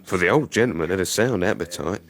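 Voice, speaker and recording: A man's voice: low voice, lively intonation, fast. An actor playing a wry servant who narrates his master's tale in a period radio drama. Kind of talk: scripted storytelling in radio drama.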